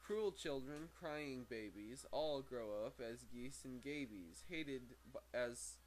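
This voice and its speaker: A man with a mid-range voice reads a book aloud in an informal, amateur way. His delivery is halting, with stumbles, corrections and casual asides. Some background noise sits under the homemade recording. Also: medium pitch, rough recording. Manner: halting, stumbling over words and correcting himself. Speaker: amateur audiobook reader.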